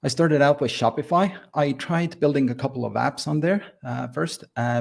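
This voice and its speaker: Gravelly Estonian-accented man. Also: medium pitch, darkish tone. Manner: thoughtful, explains step by step with fillers. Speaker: guest, software founder. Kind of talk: casual interview talk.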